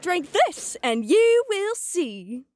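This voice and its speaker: A woman's voice: very high voice, big pitch swings, drawn-out words, crowd noise, background noise, full character voice. A woman playing a teasing, grand guardian angel who coaxes like a seller in a comedic audio drama. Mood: coaxing. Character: playful, teasing fake guardian angel peddling a drink.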